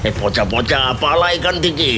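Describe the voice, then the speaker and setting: A man's voice: on the high side, animated, moderate pace, music in the background, close to an everyday voice. A man voicing a greedy, cowardly village thief in a cartoon, whining, panicky and pleading in an exaggerated style. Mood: panicked.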